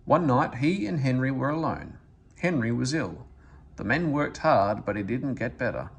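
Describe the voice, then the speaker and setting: A man reading a children's storybook aloud as an expressive narrator. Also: medium pitch, normal speed, gravelly, slightly rough. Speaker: story reader.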